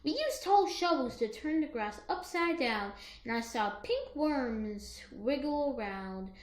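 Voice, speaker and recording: A woman co-reading a children's picture book aloud in an expressive, emphatic way. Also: high-pitched, unhurried, echoey room. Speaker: co-reader of a children's story.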